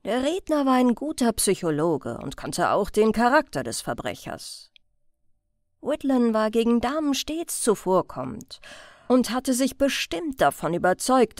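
A woman reading a crime story as an audiobook narrator in a measured, careful storytelling manner. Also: a little high, very animated, no echo, studio quality.

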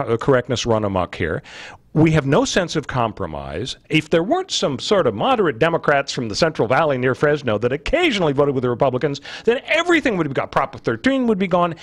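An American man in his sixties hosting a political panel show, talking in a measured, articulate way as he thinks aloud. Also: on the high side, no room sound. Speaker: radio talk show host. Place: radio studio. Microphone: close broadcast microphone on boom arm.

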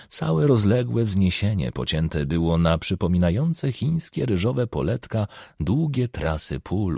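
A man narrating a crime novel as an audiobook, expressive and measured, with a gravelly, husky voice on a close studio microphone. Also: deep voice, no room sound.

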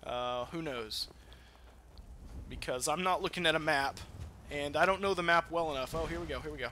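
A man's voice: a little high, normal speed, sharp tone, slight background noise. A man giving casual, rambling let's play commentary, with some swearing. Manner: rambling, profane. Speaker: gaming video host.

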